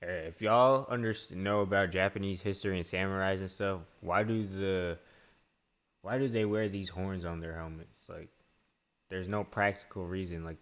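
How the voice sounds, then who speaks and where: Deep-voiced, flat, laid-back young man. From grew up in Asia (Hong Kong, UAE, India). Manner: laid-back, chatty, slangy. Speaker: YouTuber reacting to a trailer. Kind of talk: casual reaction video.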